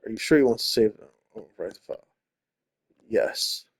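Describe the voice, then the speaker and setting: A man's voice: fairly low pitch, moderate pace, slightly rough. A man hosting a gaming video, thinking aloud informally and reading the story text out as he plays. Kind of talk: casual game commentary mixed with read-aloud narration.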